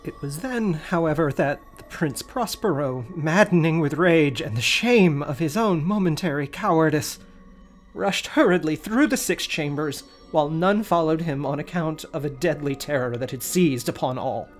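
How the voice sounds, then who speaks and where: A man hosting a podcast, giving a dramatic, expressive reading of a story. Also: on the high side, normal speed, soft background music. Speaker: podcast host.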